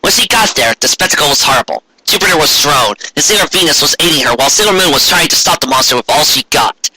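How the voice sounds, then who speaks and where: Bright, animated male story narrator. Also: a little high, fast talker, slight background noise, low-quality recording. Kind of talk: dramatic comic reading of fan fiction.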